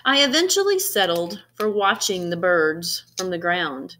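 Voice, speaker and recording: A woman voicing an earnest, anxious yet determined egg in an expressive picture-book read-aloud, with dramatic emphasis and pauses. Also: lowish voice, slight background noise.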